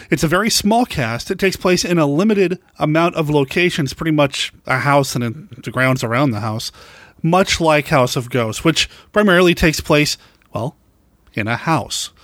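A man's voice: fairly high pitch, dry recording, clean studio sound. A man giving a scripted, enthusiastic film recommendation as a podcast contributor. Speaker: podcast contributor reviewing classic horror films.

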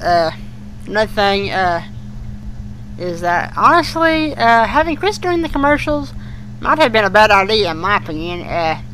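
A young podcast co-host with a bright, forward voice and middling pitch chats informally. There is faint noise behind the casual discussion. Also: low-quality recording.

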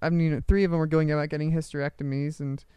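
A man's voice: on the high side, little pitch movement, quick pace, warm tone, clean tone, no echo. A man co-hosting a casual comedic chat, asking pushy questions in a silly mock-interviewer way. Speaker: co-host.

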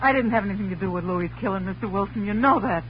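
A woman's voice: mid-range voice, racing, lightly acted. An actress playing a nervous female suspect pleading desperately in an old-time radio crime drama. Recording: muffled old radio recording, hum, noise.

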